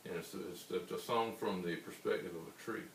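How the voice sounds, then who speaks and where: A man with a baritone voice, a singer-songwriter answering interview questions in an unhurried, rambling way. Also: creaky. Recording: roomy, distant mic with faint hiss.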